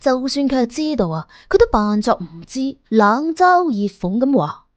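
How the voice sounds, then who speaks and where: A woman narrating a suspense audiobook in an expressive, colloquial storytelling style. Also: on the high side, normal speed, dry recording, lo-fi sound. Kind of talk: scripted suspense storytelling in Cantonese. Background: music under the voice.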